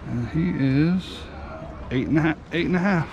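A man's voice: a little high, moderate pace, highs cut off. A man, hosting a fishing vlog from his boat and chatting casually to viewers. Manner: folksy and upbeat, addressing viewers as y'all. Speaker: fishing channel host and charter captain. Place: open boat on the water outdoors. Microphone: action camera on the boat. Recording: outdoor boat recording with engine and water noise.

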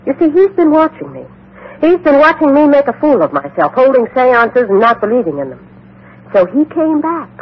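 An actress playing a fervent, easily frightened medium, insistent and repetitive, in 1950s radio drama style. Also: female voice, high voice, big pitch swings, moderate pace. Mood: fervent, resolute. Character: frightened, fervent phony medium convinced by her brother's ghost. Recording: dull vintage 1950s radio recording.

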